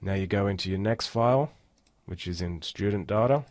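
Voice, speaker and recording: Low-voiced man giving a casual tutorial. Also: normal speed, a little mellow, no room sound. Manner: casual, step-by-step instructing. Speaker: tutorial instructor. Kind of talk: screen-recorded software tutorial, casual walkthrough.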